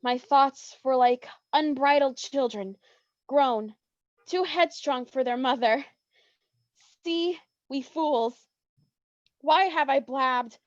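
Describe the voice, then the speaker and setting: Woman acting high-voiced lovestruck Shakespearean maiden. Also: slow, pauses often, dry recording, muffled recording. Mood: coy, lovestruck. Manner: theatrical, earnest verse delivery. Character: lovestruck young woman confessing, coy and self-reproaching. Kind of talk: Shakespearean staged reading.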